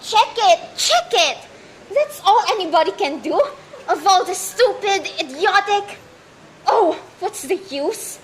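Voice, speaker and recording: A woman's voice: very high voice, animated, takes it slowly, slight background noise, exaggerated voice. A woman playing an anxious, demanding bedridden wife in a radio play, agitated and complaining. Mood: indignant, exasperated.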